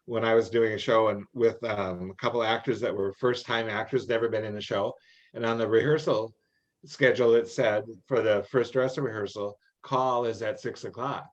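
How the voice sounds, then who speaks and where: An older man answering questions in an informal meeting, explaining rules in a halting, anecdotal way. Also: lowish voice, fairly even intonation. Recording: dull, lo-fi online call audio.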